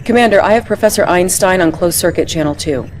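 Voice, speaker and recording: An actress playing an earnest, loyal intelligence officer, giving composed, clipped briefings in a video game. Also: female voice, on the low side, quick pace, slight background noise, close to an everyday voice. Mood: focused.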